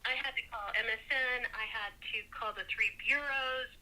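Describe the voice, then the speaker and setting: A woman's voice: fairly high pitch, moderate pace, a crowd in the background, low-quality recording. A woman telling a personal story casually as a caller, rambling with emphatic repetition and casual swearing. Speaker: caller seeking tech help.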